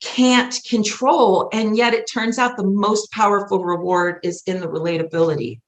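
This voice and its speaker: A woman's voice: medium pitch, steady tone, normal speed. A woman guest on a podcast talking casually and earnestly, in an emphatic, rambling way. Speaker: podcast guest, musical playwright. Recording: roomy, echoey sound.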